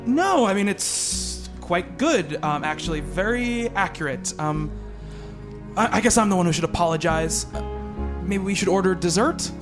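A man acting in a radio play as an earnest psychiatrist who can be awkward and halting. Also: high voice, animated, over music. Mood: shy, apologetic. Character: earnest male psychiatrist, haunted narrator and shy awkward suitor.

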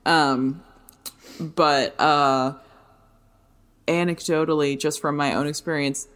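A woman with a notably low voice, chatting casually as a podcast co-host. She rambles through anecdotes with plenty of "um" and "like", and laughs easily. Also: steady tone, takes it slowly, fairly bright tone. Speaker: podcast co-host, fitness and dance teacher.

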